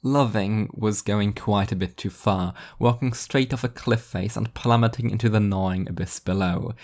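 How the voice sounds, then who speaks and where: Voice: medium pitch, normal speed, a little mellow. An author reading fiction as narrator, in a scripted storytelling style with a dry, wry delivery.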